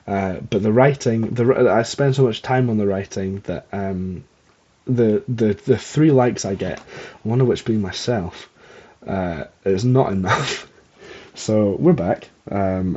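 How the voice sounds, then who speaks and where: A young Scottish man talks casually on his own podcast, in a soft-edged, husky and mellow voice. He rambles along with self-deprecating humour. The recording is dull and lo-fi, as from a modest microphone. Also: on the low side. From Scotland. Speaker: podcast host.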